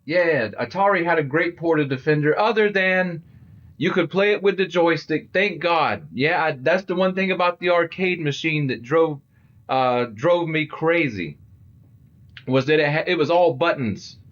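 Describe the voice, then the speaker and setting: Man, middle-aged, loud voice, chatty, a bit high, game streamer. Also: animated, normal speed, muffled recording. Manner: loud, chatty, talks to viewers. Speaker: livestream host. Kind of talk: casual gaming livestream chat.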